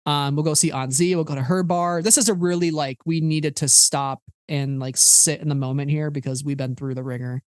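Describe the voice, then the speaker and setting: A man's voice: on the high side, steady tone, brisk, hardly any pauses, smooth, no room sound, studio quality. A man hosting a podcast, chatting casually about a fan game with many filler words and restarts. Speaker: podcast host.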